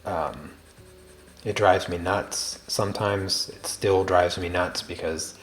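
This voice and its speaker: A man hosting a drawing livestream, chatting casually with viewers in a very soft, quiet voice and a slow, deliberate cadence full of small restarts. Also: sits low, fairly even intonation, soft background music. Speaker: art livestream host.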